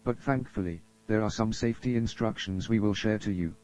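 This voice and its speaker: A man's voice: fairly low pitch, a little fast, darkish tone, slight background noise. A man reading a scripted emergency broadcast as an announcer, formal and solemn in manner. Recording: dull lo-fi audio.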